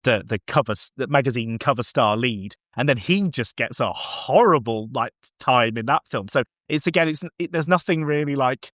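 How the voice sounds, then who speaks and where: A man chatting casually about films, acting out bits of dialogue as he tells it in an animated way. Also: medium pitch, moderate pace, few pauses, dry recording, clean studio sound. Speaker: podcast guest film reviewer. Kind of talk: casual, chatty film discussion.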